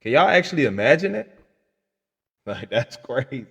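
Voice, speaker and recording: A man's voice: mid-range voice, sing-song, unhurried, gravelly, a bit bright, slightly rough, dull audio. A man with an American accent giving slangy, emphatic livestream commentary and speaking straight to his viewers. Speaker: livestream reaction host.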